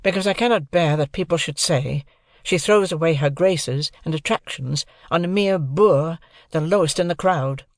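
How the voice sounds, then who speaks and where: Woman narrator as lovesick young gentleman, earnest, pleading, indignant, slightly raised voice, dry audiobook recording. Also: sits low, moderate pace, few pauses, no room sound, voice pushed up.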